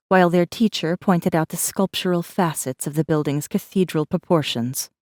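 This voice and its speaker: A woman reading a first-person story as an audiobook narrator, with measured, dramatic delivery. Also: low voice, darkish tone, dry recording, clean studio sound.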